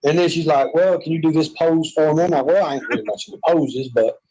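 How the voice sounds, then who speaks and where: A man's voice: fairly high pitch, fast talker, mellow, poor audio. A man with a Southern US accent, chatting and joking in a rambling, self-mocking way from a hospital room.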